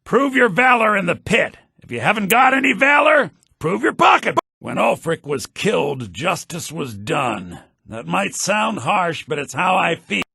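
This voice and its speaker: Wry, expressive fantasy townsman. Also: a little slow, creaky, husky, no room sound, clean studio sound, exaggerated voice. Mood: brisk, pushy, then earnest, aggrieved. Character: male fantasy townsfolk, merchants and mages, shrewd and wry. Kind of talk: scripted video game character lines. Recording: low-bitrate game audio rip.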